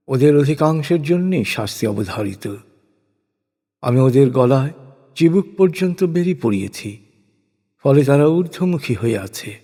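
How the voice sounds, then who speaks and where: A man gives a solemn, scripted recitation of translated scripture in a measured, declamatory way. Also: medium pitch, mellow, breathy edge. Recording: long hall-like reverb.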